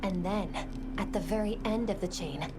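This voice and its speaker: A woman's voice: medium pitch, moderate pace, heavy background noise, lightly acted. A woman playing a composed, caring mentor in a video game cutscene, direct and firm in her delivery. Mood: composed, probing. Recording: loud music behind the voice.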